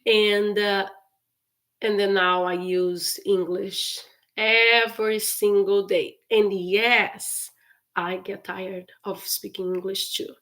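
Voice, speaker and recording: Slow, careful woman teaching English. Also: medium pitch, little pitch movement, fairly bright tone. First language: Portuguese. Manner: slow, careful repetition of phrases with frequent laughs. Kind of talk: live-stream English lesson.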